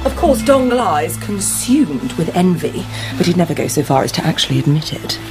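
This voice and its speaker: An actress playing an arch, gossipy high-society wife in a period radio drama, exclamatory and drawling. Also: female voice, very animated, moderate pace, music in the background. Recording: radio drama with background ambience noise.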